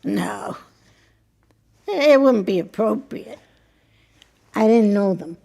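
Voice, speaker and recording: An actress playing a wry, wistful married woman in an audio drama, chatty and hesitant, with a creaky voice. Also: medium pitch, lively intonation, slow, dark tone. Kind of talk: naturalistic audio drama acting.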